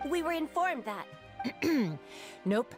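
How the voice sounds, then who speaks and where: A dutiful, evasive patrol guard in a video game. She sounds brisk and conversational, very animated in pitch, over clear music. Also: heavily acted. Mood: evasive, coaxing.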